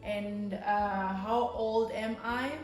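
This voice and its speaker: A woman's voice: mid-range voice, fairly even intonation, roomy sound, soft background music. A South African woman in her thirties, a native Swati speaker, chatting casually and cheerfully as she introduces herself to her channel. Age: 30s. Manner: cheerful, unhurried, laughs often. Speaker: YouTuber. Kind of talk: casual get-to-know-me talk.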